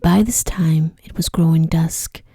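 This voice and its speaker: A woman reading a sleep story in ASMR style, with a soft, whispery voice and a gentle, hushed delivery. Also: low-pitched, fairly even intonation, measured pace, slightly rough, no room sound, clean studio sound.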